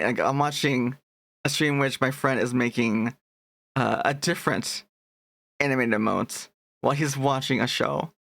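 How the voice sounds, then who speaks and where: A man's voice: on the high side, fairly bright tone, no room sound. A young man chatting casually on a live stream, rambling and restarting his sentences as he greets his chat.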